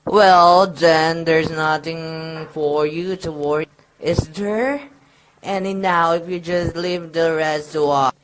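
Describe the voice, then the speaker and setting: A woman playing a brisk, unruffled phone official in a radio drama, formal and businesslike. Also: deep voice, steady tone, noisy background. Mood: brisk, dismissive. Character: brisk, official, unruffled telephone public-service worker.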